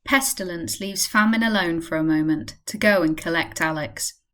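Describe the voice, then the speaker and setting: A woman's voice: fairly low pitch, moderate pace, a bit bright. A woman narrating a scripted radio play.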